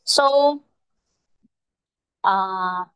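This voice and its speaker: A Filipino-accented woman, a native Tagalog speaker, teaching a research methods lesson in a deliberate lecture style, often pausing with "uh" and checking in with "okay?". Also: medium pitch, a bit bright, clear, resonant tone, no echo. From Philippines. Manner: slow, deliberate, frequent "okay?" check-ins and "uh" pauses. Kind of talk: recorded lecture to students.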